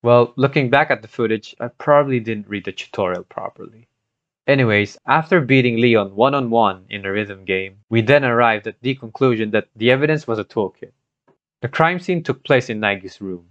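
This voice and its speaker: A man's voice: mid-range voice, moderate pace, dry recording. Man narrating, dull lo-fi mic. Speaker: video narrator, gaming content creator. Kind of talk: scripted storytelling narration with personal commentary. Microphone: cheap home microphone, voice-over. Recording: dull lo-fi scuffed home microphone.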